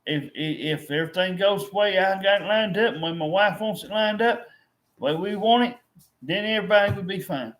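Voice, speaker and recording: A man's voice: high-pitched, fairly quick, fairly bright tone. A middle-aged man venting to his viewers, frustrated and repetitive, often restarting his sentences. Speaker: vlogger. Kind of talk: rambling to-camera vent.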